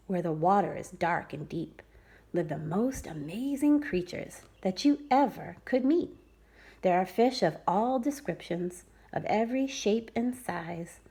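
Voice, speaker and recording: Expressive, gravelly-voiced preschool teacher. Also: female voice, medium pitch. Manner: expressive, sing-song storytelling. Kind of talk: reading a picture book aloud to children.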